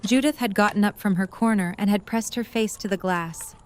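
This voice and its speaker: A woman's voice: medium pitch, brisk, a little hiss or hum. A woman reading scripted third-person narration as the narrator of a story.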